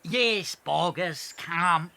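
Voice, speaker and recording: An actor playing a mean, bossy, scheming thin farmer with a raspy, sneering delivery in a children's audio drama. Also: male voice, a little high, drawn-out words, heavy background noise, exaggerated voice. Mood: scheming, stern. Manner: sneering, domineering.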